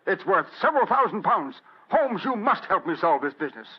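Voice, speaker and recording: An actor playing an agitated, self-important wealthy gentleman, urgent and pompous, in old-time radio drama style. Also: high voice, very animated, a little fast, slightly rough, heavily acted. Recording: dull vintage radio drama recording.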